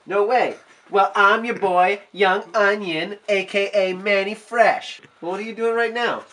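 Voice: medium pitch, measured pace, echoey room, close to an everyday voice. Someone doing a cocky onion puppet dude. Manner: boastful, loud, cocky slang. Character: brash young male onion puppet, swaggering. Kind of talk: comedic puppet banter.

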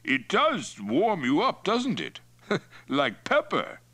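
A male narrator plays a domineering Mongol chieftain in a storybook tale. He is boastful and hearty with menace underneath. The voice is forceful, pushed high and swinging wildly in pitch. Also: huge pitch swings, slow pace, exaggerated voice. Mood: tipsy, impatient. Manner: blustering, jovial yet menacing, exclamatory. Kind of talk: acted dialogue in audiobook narration.

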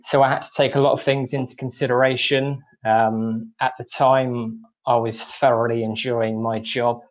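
A man in his thirties with an English accent, speaking from home on a video call, giving a measured personal account with frequent ums as a webinar guest. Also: medium pitch, little pitch movement. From England. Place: home room, indoors. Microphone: video call, earphones. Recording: slightly dull video call audio.

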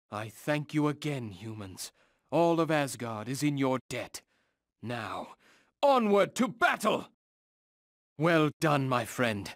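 An actor playing a proud, noble Norse warrior god in a video game, speaking formally and solemnly in archaic phrasing. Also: male voice, medium pitch, dry recording, clean studio sound. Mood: grateful, rallying. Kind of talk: scripted fantasy game dialogue.

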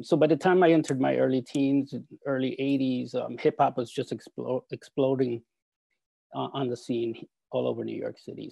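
A man in his forties from El Salvador, an artist talking over a video call from his studio, halting with many fillers and restarts. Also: mid-range voice, gravelly, dark tone, breathy edge, dry recording. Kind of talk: video call talk with slides. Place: home art studio. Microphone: earphone mic on video call.

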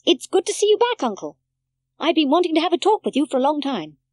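A woman voicing a friendly villager in a storybook, greeting cheerfully and quickly. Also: sits high, very animated, darkish tone, dry recording, voice pushed up.

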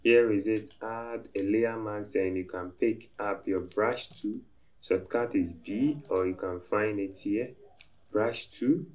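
A man calmly talking through a design software tutorial step by step as he works at his computer. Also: on the low side. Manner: slow, step-by-step instructive talk. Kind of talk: screen-recorded software tutorial narration.

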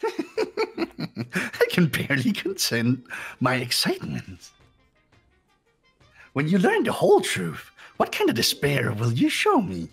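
Sadistic cartoon bear villain, gravelly rasp, extremely swooping pitch, theatrical taunts, game dialogue over music. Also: huge pitch swings, takes it slowly, pauses often, exaggerated voice. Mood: gleeful. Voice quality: raspy, gravelly. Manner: theatrical, taunting, giggling, pun-happy. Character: gleefully sadistic robotic bear mascot villain. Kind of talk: character voice acting in a game fan dub.

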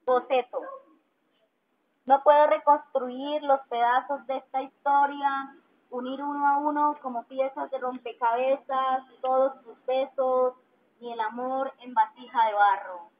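A woman's voice: sits high, steady tone, measured pace. A woman reciting poetry as a reader at a public event in an outdoor park. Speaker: poet reading in public. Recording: tinny band-limited megaphone, noisy outdoor ambience.

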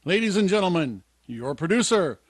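An actor playing a grand 1950s radio show announcer with drawn-out, showy delivery. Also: male voice, sits high, very animated, no echo, studio quality.

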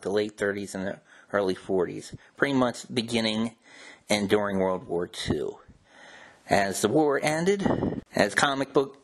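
Male host, lowish voice, casual explainer delivery. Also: poor audio. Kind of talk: casual informative talk on old radio show history.

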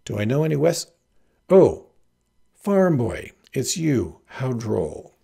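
A man voicing a haughty, lovesick teenage farm girl with affected, gushing, theatrical airs and a husky voice in a storybook reading. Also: mid-range voice, very animated, measured pace, mellow, heavily acted. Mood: affected airy disdain.

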